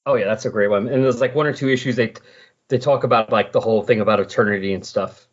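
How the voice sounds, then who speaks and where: A man's voice: on the low side, fast, dull audio. A man hosting a podcast in a casual, conversational style. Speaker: podcast host. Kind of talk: casual chat about comic books.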